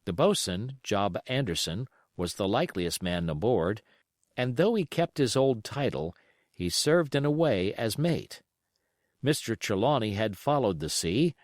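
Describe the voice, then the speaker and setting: A man reading a classic adventure novel aloud as an audiobook narrator, in an expressive storytelling manner. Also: medium pitch, no room sound, clean studio sound. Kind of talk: scripted literary narration.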